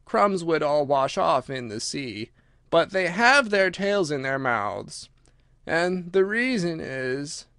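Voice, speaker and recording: A man voicing a mournful, drowsy, self-important turtle creature in a storybook, with slow, drawn-out, solemn speech. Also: fairly high pitch, very animated, pushed and bright, clean tone, no room sound, studio quality, voice pushed up, full character voice. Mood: ponderous, drowsy. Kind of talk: storybook character voice.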